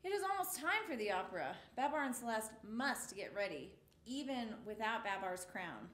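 A woman in her thirties reads a picture book to little children, her high voice bouncing all over with expression. The sound rings a bit in the small studio, picked up from across the room. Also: moderate pace, roomy sound. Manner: expressive, exclamations for the story. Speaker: storytime reader. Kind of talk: reading a picture book aloud to young children. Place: small indoor studio with a rainbow mural.

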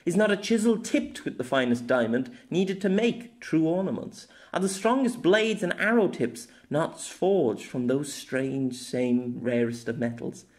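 A man's voice: fairly high pitch, unhurried, hardly any pauses, darkish tone, pitched above the performer's own voice, lightly acted. A man voicing a young noblewoman who reads aloud in a formal, declamatory way in a fantasy story. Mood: solemn. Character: proud young noblewoman reading a flowery plea aloud.

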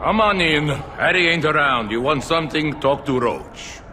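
A man's voice: on the high side, expressive, moderate pace, close to an everyday voice. An actor playing a guarded, curt criminal doorman in a video game. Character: gruff, guarded criminal doorman at a drug den. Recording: very loud background noise.

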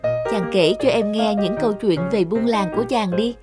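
A woman's voice: medium pitch, normal speed, loud music behind the voice, natural voice. A woman voicing a sweet young deer maiden in a cartoon folk tale, tender and earnest.

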